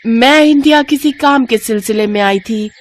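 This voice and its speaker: Woman acting a high-pitched, concerned young friend. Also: normal speed, soft background music, close to an everyday voice. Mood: caring, friendly. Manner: exclaiming, familiar and direct.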